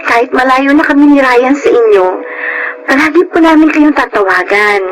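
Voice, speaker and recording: A woman's voice: high voice, moderate pace, slight background noise, close to an everyday voice, rough recording. A woman playing a hurt, outspoken young adult daughter, earnest and emotional in a Filipino radio drama. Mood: reassuring, earnest.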